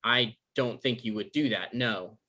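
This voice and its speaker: A man's voice: medium pitch, measured pace, sharp tone. A man acting an anxious, easily flustered novelist in a conversational comedy script reading. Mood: subdued. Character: anxious, defensive male novelist in his thirties.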